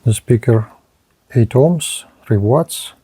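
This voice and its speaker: A male reviewer walks through a device in short, halting pieces. He speaks slowly, with a very mellow, dark tone that is somewhat husky and unclear. The delivery is that of a practical hands-on demonstration. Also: medium pitch, no echo. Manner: halting, short item-by-item phrases. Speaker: product reviewer, presenter.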